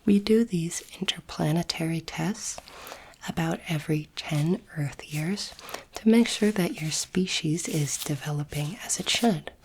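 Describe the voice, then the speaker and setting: A woman voicing a calm, clinical alien doctor in an ASMR roleplay, whispering softly in a measured, formal and soothing way. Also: deep voice, slight background noise, rough recording. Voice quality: soft, breathy whisper. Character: calm clinical alien space doctor examining a human specimen.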